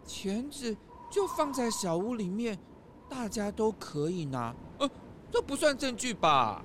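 A man's voice: sits high, big pitch swings, unhurried, fairly bright tone, slight background noise, full character voice. A man playing a blunt, touchy pair of pliers as a living character in a children's audio drama. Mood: reasoning, firm. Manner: blunt, matter-of-fact, touchy. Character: blunt male pliers character, a talking tool, defensive.

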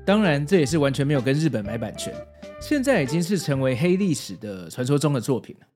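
A man's voice: mid-range voice, big pitch swings, fast, music in the background. A man with a Taiwanese Mandarin accent, hosting a podcast with narration and casual commentary. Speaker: podcast host.

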